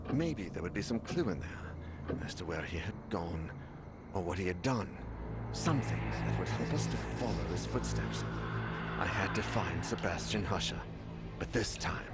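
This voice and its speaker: Deep-voiced, brooding actor as a male horror-game investigator. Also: lightly acted. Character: weary, troubled male investigator narrating a horror mystery. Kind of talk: dramatic video game narration and dialogue. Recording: loud music behind the voice.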